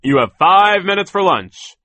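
Man acting a loud, barking mill foreman. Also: sits high, sing-song, measured pace, no room sound, pitched above the performer's own voice, exaggerated voice. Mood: barking, domineering. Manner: barking orders, shouting. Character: cruel, bellowing male lumber mill foreman.

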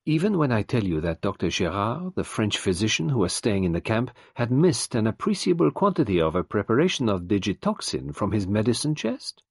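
Man voicing a stern elderly detective, formal clipped speech, husky tone, dry close sound, lively inflection. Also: on the low side, brisk, hardly any pauses, no room sound, pitched above the performer's own voice, full character voice. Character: stern elderly male detective, precise and unyielding.

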